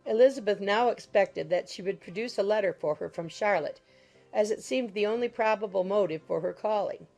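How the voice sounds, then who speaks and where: A woman's voice: medium pitch, fairly quick, creaky, darkish tone, faint music behind, poor audio. A woman reading scripted literary narration as an audiobook narrator.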